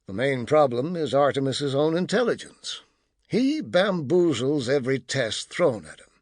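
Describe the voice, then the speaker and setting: A man reads a novel aloud as a dramatic storyteller. His delivery is measured and expressive, with pitch that rises and falls widely. The recording is dry, with no room sound. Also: no echo. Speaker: audiobook narrator. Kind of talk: scripted dramatic storybook narration.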